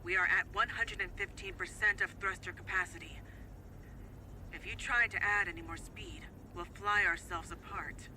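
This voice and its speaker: A woman playing a calm, dryly sarcastic female machine companion in a fan audio drama, speaking in a measured, matter-of-fact way. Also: poor audio. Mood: dry, unruffled. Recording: clear rumbling noise.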